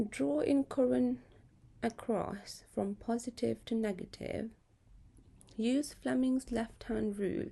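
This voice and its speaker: Slow, mellow woman narrating a lesson. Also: fairly high pitch, gravelly. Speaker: physics tutor presenting. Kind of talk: scripted narration of an exam lesson.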